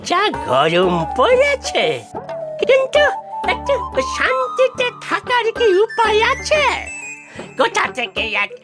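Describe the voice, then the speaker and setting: A woman's voice: very high-pitched, wildly swooping pitch, takes it slowly, music in the background, heavily acted. A woman voicing a cranky, mischievous, hard-of-hearing old grandparent in a comic Bengali cartoon, scolding and exclaiming in a muddled way. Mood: boastful, grumpy.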